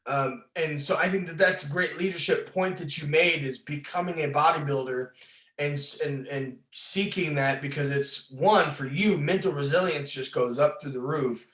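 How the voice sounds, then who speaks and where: A man's voice: on the high side, moderate pace, fairly bright tone. A man hosting a casual conversational interview, talking in a rambling way with many false starts. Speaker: podcast host, fitness coach interviewer. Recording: roomy, echoing sound.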